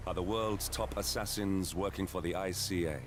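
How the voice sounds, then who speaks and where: Man acting a deep, grave story narrator. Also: steady tone, moderate pace, background noise, close to an everyday voice. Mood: grave, ominous. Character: grave male narrator of a spy conspiracy story. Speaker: recap narrator. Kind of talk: dramatic scripted narration. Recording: music under the voice.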